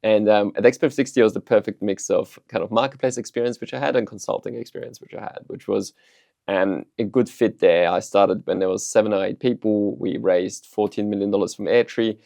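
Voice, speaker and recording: A man in his thirties, from Austria, talks through his career in a loose, rambling, storytelling way. His voice is low and gravelly, with a lot of fry. The sound is dry and close, with no room echo. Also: a little fast. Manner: relaxed storytelling, many ums and restarts. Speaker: startup CEO, guest. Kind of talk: casual interview answers.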